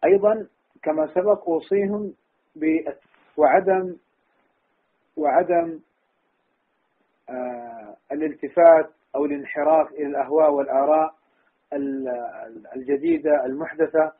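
A man's voice: fairly high pitch, steady tone, rapid-fire, long pauses, slightly rough. A man, an Islamic scholar, giving instructive religious advice off the cuff, over a tinny phone-like connection. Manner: enumerating, instructive. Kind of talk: religious advice, speaking off the cuff in a question and answer session. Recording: tinny, muffled phone-line sound.

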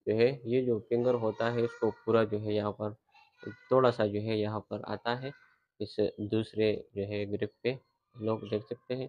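A young man explaining cricket bowling grips in a relaxed, casual tutorial style, leaning heavily on filler phrases as he talks on a rooftop. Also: lowish voice, lo-fi sound. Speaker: cricket coach. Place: rooftop outdoors.

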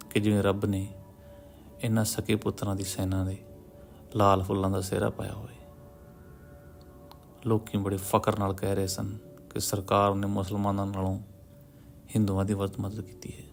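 A man narrates a literary story in a measured, solemn way, pausing between short phrases. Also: on the low side, gravelly, darkish tone. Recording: music behind the voice. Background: background music.

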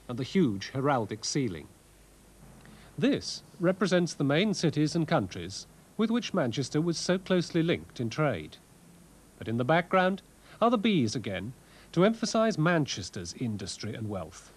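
A man giving scripted, scholarly documentary narration in a measured way, with wry asides. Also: a little high, breathy edge. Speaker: presenter, art and architecture lecturer. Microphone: voice-over narration.

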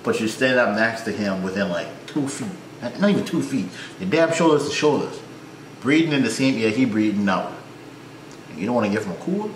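A man's voice: on the low side, expressive, fairly quick. A young man with a Trinidadian accent reacting to a video from a small room, joking and talking back at the screen. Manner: joking, mock-frustrated, talks back at the screen. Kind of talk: casual reaction commentary. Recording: echoey room with faint hiss.

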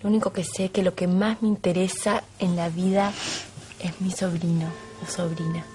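A woman's voice: lowish voice, little pitch movement, normal speed, dark tone, natural voice. An actress playing a caring, direct young woman in a telenovela, speaking with an Argentine accent. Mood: earnest. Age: young adult. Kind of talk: telenovela acting. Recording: music playing under the dialogue.

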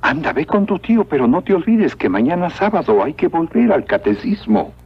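A man's voice: on the high side, very animated, moderate pace, breathy edge, full character voice. A man playing a kindly old missionary friar in a cartoon, fatherly and encouraging. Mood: fatherly, approving. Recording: muffled lo-fi cartoon soundtrack.

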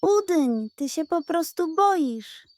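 A woman voicing a lively, knowing female goat who explains things eagerly and teases, in a children's audio story. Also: very high voice, takes it slowly, dry recording, voice pushed up, exaggerated voice. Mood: teasing.

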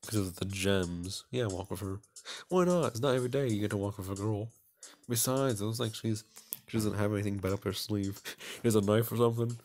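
A man's voice: on the low side, a little fast, darkish tone, a little hiss or hum. A man hosting a let's play, reading aloud and commenting casually, often tripping over his words and poking fun at himself. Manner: stumbles over words while reading, self-mocking. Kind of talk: casual gaming commentary and read-aloud narration.

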